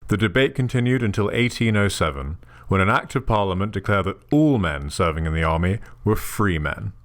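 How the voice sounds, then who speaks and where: A man giving measured, scripted documentary narration. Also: on the low side. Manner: measured, even documentary delivery. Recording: soft music underneath.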